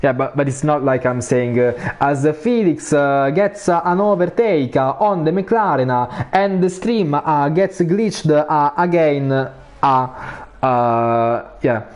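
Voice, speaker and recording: Italian man with a high, filler-heavy commentary voice. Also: animated, slow, a little mellow. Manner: frequent "uh" fillers, rises in pitch during battles. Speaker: sim racing commentator. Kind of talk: live esports race commentary.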